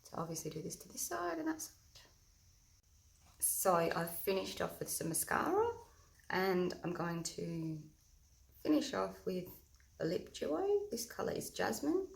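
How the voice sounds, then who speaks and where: A woman with a loud, husky voice, chatting through a casual makeup tutorial early in the morning before her coffee. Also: lowish voice, sing-song, unhurried. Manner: loud, chatty, talks through each step. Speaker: makeup vlogger. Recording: echoey room.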